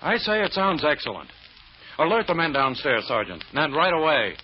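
An actor playing a stern, officious and threatening prosecutor in an old radio drama. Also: male voice, on the high side, very animated, moderate pace. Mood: intrigued. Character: pompous, threatening male prosecutor, easily exasperated. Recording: muffled old radio recording.

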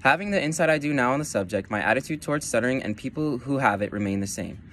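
A young man rapidly reading his prepared part of a class presentation. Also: mid-range voice, animated, a bit bright, a little hiss or hum. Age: young adult. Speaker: student presenter.

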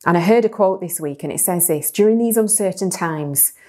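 A woman in her forties from England, with a low, deep speaking voice, shares a personal story in a sermon. She talks lively and straight to the camera in a small plain studio. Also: a little fast. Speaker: church speaker.

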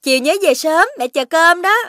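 A woman voicing a caring mother dog in a cartoon, speaking softly. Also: extremely high pitch, normal speed, background noise. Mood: gentle. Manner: soothing, motherly.

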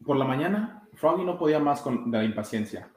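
A young man in his twenties reading a children's story aloud, a little haltingly. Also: a little high, animated. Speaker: soccer goalkeeper reading a children's book. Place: small room with blinds, indoors. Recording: roomy indoor webcam sound.

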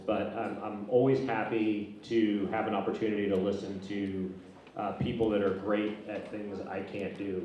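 Middle-aged man, moderator, flat and dark-toned, heard from afar in a very echoey hall. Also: on the low side, recorded from a distance, slight background noise. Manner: reads out speaker bios in a steady, even way. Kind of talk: reading a panel introduction. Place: stage in a large dark hall. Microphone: handheld microphone.

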